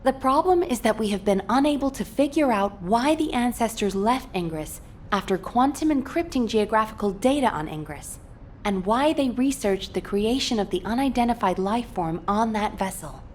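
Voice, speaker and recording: An actress playing a thoughtful, earnest researcher giving a measured, formal briefing in a video game. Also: female voice, fairly high pitch, animated, darkish tone, a little hiss or hum, close to an everyday voice. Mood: grave, pensive.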